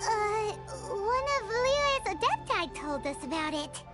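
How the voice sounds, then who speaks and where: A woman voicing a tiny childlike fairy in an anime-style video game, squeaky, excitable, boastful and quick to take offence. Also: loud music behind the voice, exaggerated voice. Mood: curious. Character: tiny childlike floating fairy companion, cheeky and indignant.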